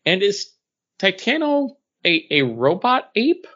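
Bright-voiced man, dull lo-fi recording. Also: high-pitched, sing-song, slow pace. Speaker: comics podcast co-host. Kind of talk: casual chat reviewing comics. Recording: dull lo-fi sound.

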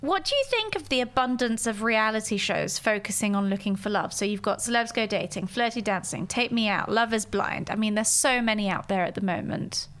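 A woman's voice: medium pitch, a little fast, few pauses, fairly bright tone. A woman hosting a podcast, chatting in a playful, casual way. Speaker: podcast host. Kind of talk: casual chat.

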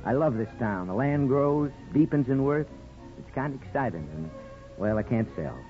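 Man acting earnest old-fashioned husband, sincere, halting, 1950s radio drama, muffled recording, faint music. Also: medium pitch, expressive, lightly acted. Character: earnest small-town Midwestern husband, devoted, old-fashioned.

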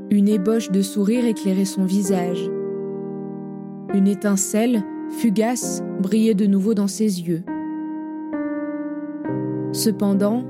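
A woman narrating an audio drama in a measured, solemn storytelling voice. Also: medium pitch. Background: piano music.

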